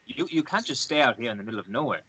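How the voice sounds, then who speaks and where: A man's voice: medium pitch, expressive, very fast, bright, forceful tone, husky, rough recording. A man playing a driven, suspicious journalist in a drama read-through, speaking in an insistent, clipped way. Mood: indignant.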